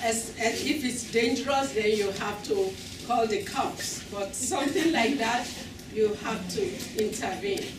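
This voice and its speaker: A woman's voice: on the high side, unhurried. A woman emceeing a live community event and directing a skit, speaking in an encouraging, instructive manner. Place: large hall, live event. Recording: echoey hall, distant mic, very noisy.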